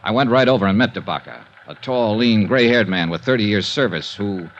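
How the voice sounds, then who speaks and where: An actor playing a tough, wry insurance investigator in a 1950s radio drama, with a clipped, hard-boiled delivery. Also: male voice, on the low side, expressive, fairly quick. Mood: dry, brisk. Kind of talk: 1950s radio detective drama, first-person narration. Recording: muffled 1950s radio recording with music.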